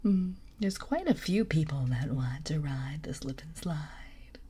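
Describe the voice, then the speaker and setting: Husky whispering woman, deep and raspy. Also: lively intonation, measured pace. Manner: soft intimate whisper, frequent giggles. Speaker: streamer. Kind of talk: whispered ASMR livestream.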